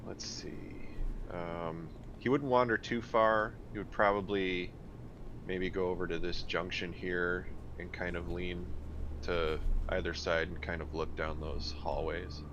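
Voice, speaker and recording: A man's voice: deep voice, little pitch movement, a little slow. A man playing a tabletop game, talking casually and hesitantly as he thinks aloud about his character. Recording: dull, noisy room recording.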